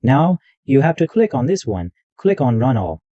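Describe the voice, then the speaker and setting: A man hosting a screen-recorded software tutorial, narrating every click step by step in loose, repetitive wording. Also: medium pitch, sing-song, moderate pace, dry recording. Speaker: tutorial host. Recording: dull lo-fi dry mic sound.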